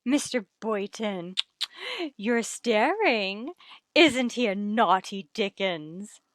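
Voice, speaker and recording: An actress playing a bossy, gushing middle-aged matchmaking wife with theatrical delivery, in old radio sitcom style. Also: female voice, medium pitch, animated, slow pace, no echo. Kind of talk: 1940s-50s radio sitcom acting.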